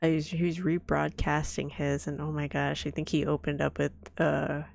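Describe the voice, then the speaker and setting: A woman streamer with a cold chats softly and rambles, her voice hoarse and scratchy, giggling and coughing often. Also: little pitch movement, moderate pace, no room sound. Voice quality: deep, scratchy voice. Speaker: vtuber streamer. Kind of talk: soft-spoken casual stream chat.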